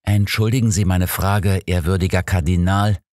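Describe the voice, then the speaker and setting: A man's voice: low voice, fairly even intonation, normal speed, darkish tone, no room sound, studio quality, voice pushed up, lightly acted. A man voicing a detective's doctor companion in an audiobook, polite and questioning. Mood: curious.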